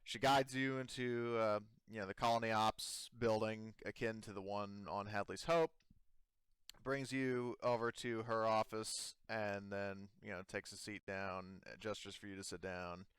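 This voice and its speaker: A man running a tabletop roleplaying game, narrating scenes off the cuff in an informal, halting way. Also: fairly low pitch, fairly even intonation, fairly bright tone, clear, resonant tone, no room sound. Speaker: game master.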